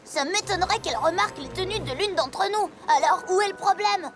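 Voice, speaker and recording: Young cartoon pony gal, casual and sceptical, very high voice, clear music underneath. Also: female voice, expressive, brisk. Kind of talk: animated children's cartoon dub.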